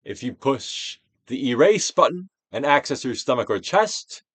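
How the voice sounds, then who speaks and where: A man giving a dramatic, deliberate reading of a self-help book as a guided exercise, with pauses and big swells. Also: medium pitch, big pitch swings, fairly bright tone, slightly rough, no echo. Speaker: audiobook reader and actor.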